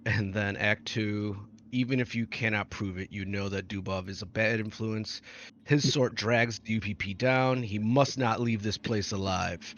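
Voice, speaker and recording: Lowish-voiced man reading, muffled audio. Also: fairly even intonation, measured pace, fairly bright tone. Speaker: tabletop roleplaying player. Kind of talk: reading a character backstory aloud. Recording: muffled audio, faint noise.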